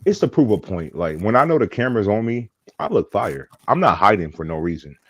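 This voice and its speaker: Deep-voiced, rambling man in his thirties. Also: sing-song, a little fast, no echo. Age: 30s. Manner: rambling, chatty, laughs easily. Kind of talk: casual panel chat.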